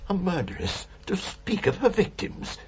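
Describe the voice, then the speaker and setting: Casting: anxious British male lodger for radio crime drama, courteous, faltering and appalled, with lively, varied pitch. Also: normal speed, husky, full character voice. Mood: appalled, polite. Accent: British English. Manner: hesitant, polite, appalled. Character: nervous, polite male lodger questioning a murderess. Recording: dull radio recording with faint hiss.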